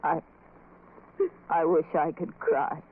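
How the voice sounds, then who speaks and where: A woman's voice: deep voice, steady tone, takes it slowly, slightly rough, heavily acted. An actress playing a distraught, guilt-ridden young woman with an intense, anguished delivery, in old-time radio horror style. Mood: anguished, guilty. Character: distraught, guilt-ridden young person, defiant turning hopeless. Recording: scratchy, tinny old radio recording.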